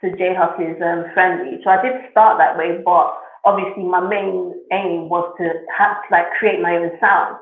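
A woman, a musician answering interview questions in a relaxed way with many ums. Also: on the low side, measured pace, few pauses. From Nigeria and England. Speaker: musician guest. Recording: echoey phone-like remote audio.

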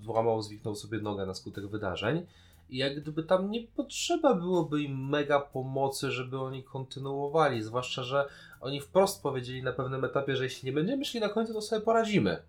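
A man's voice: medium pitch, lively intonation, brisk. A man co-hosting a podcast, explaining his views reflectively in casual conversation. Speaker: podcast co-host. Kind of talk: casual review chat.

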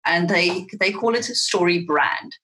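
A woman whose first language is Afrikaans, a marketing guest giving thoughtful, animated answers in an interview. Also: lowish voice, a little slow, a little hiss or hum, poor audio.

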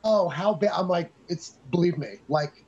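Excitable husky man, lo-fi sound. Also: sits high, normal speed. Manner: excitable, swears, acts out reactions. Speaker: podcast co-host. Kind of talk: casual movie review chat.

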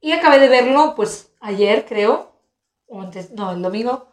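A woman in her thirties from Spain, co-hosting a podcast in a casual, animated chat full of exclamations and laughter. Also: a little high, unhurried. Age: 30s. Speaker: podcast co-host. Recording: roomy echo.

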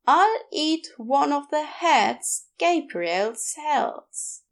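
A woman's voice: sits high, animated, very slow, voice pushed up, exaggerated voice. A woman voicing a wry nonbinary shop neighbour who is dry, teasing and pointed, in a narrated story. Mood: dry, knowing.

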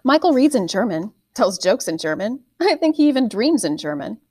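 A woman voicing a calm, proper Irish diplomat father, speaking in a smooth, polite dinner-table tone. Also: a little high, normal speed, no room sound, clean studio sound.

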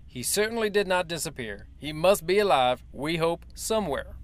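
An actor playing a male military major who briefs the press in a formal, measured and evasive way. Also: on the high side, animated, close to an everyday voice. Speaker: official spokesman. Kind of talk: audio drama acting.

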